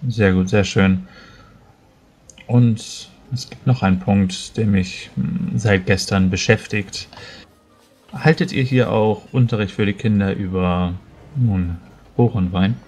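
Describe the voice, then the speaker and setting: A man playing a tabletop roleplaying game, improvising dialogue in a measured, formal and somewhat hesitant way. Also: lowish voice, a little mellow.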